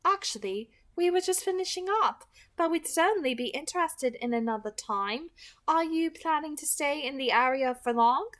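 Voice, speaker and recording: A woman's voice: sits high, lively intonation, moderate pace, fairly bright tone, voice pushed up. Storybook role: gracious, hospitable female vampire clan head, lifted voice, performed by a woman narrator. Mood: polite, welcoming. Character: courteous, welcoming female vampire family matriarch.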